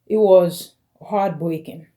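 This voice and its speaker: Lady in her 40s, halting personal vlog, lowish warm voice. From Caribbean. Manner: slow, halting, phrase by phrase. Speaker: vlogger. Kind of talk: personal video message.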